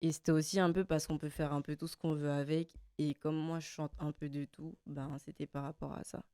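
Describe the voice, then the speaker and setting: Young woman, mellow smooth voice. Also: low-pitched, fairly quick, dry recording, studio quality. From French Guiana. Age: 20s. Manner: relaxed, laughs often, trailing fillers. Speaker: guest singer. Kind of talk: casual interview chat.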